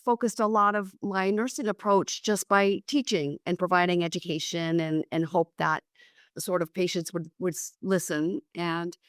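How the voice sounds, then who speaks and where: A middle-aged woman speaks as an interview guest, her voice hoarse from a cold. She talks in a loose, conversational way, restarting sentences and filling gaps. The tone underneath stays smooth, and the recording is close and dry. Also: fairly low pitch, fairly even intonation, moderate pace, no room sound. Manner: conversational, with frequent restarts and fillers. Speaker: guest, psychiatric nurse practitioner. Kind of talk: casual interview chat.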